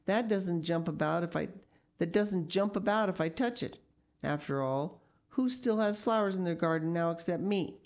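A woman's voice: lowish voice, moderate pace, darkish tone, close to an everyday voice. A woman voicing a wrinkled old woman witch, a proud and joyous gardener, in an exclamatory storybook reading. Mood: resolute, musing.

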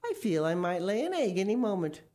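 A woman reading the part of an excitable, fussing mother in a children's story, exclaiming dramatically. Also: mid-range voice, brisk, voice pushed up, close to an everyday voice. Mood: delighted.

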